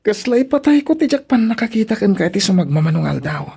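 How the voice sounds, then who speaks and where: A man's voice: high voice, very animated, fast, vocal fry, dark tone, slightly rough, exaggerated voice. A man with an Ilocano accent playing an eager, flirtatious secret lover with a playful manner in a radio drama.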